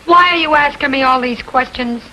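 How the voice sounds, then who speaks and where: An actress playing a sharp-tongued, hot-tempered woman in a 1940s American TV crime drama, brassy, slangy and combative. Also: high-pitched, moderate pace. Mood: defiant. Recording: noisy, dull 1940s film soundtrack.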